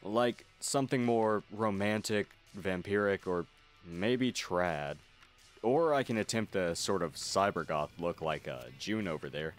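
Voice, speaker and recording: Male actor as young goth man, low voice, gentle, wry, theatrical, ASMR roleplay, music underneath. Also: measured pace. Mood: easygoing.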